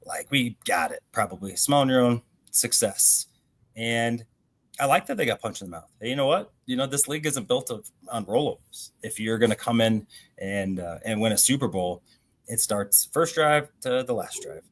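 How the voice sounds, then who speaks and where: A man co-hosts a sports discussion over a video call. His voice sits at a medium pitch. He talks casually, tossing in rhetorical questions as he works through his points. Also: lively intonation, normal speed. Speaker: co-host of a sports show.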